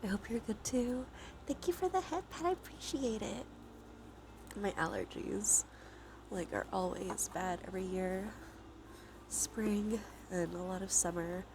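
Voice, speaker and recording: A woman livestreamer talks at a slow, unhurried pace in a cozy ASMR style. She is soft-spoken and often slips into a whisper, with a sniffly, sore-throated sound. Clear ambient noise sits behind her voice. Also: mid-range voice.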